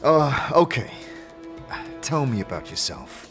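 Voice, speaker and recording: Firm, blunt male adventurer , acted by a man, lively inflection, fantasy audio drama over music. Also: mid-range voice, takes it slowly, pitched above the performer's own voice. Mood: probing.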